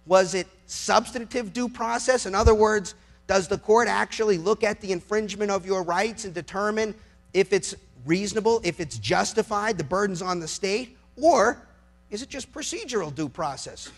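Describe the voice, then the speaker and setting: High-pitched, creaky American man making an impassioned speech. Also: moderate pace, faint music behind. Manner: emphatic, rhetorical questions, repeats phrases for effect. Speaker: lawyer giving a speech. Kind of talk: impassioned public speech to a live audience.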